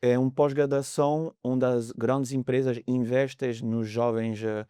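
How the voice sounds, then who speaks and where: Smooth-voiced man with French accent. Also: medium pitch, flat delivery, measured pace, warm tone, no room sound, studio quality. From France. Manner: hesitant, many fillers, searches for words. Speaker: mortgage broker guest. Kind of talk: conversational podcast interview.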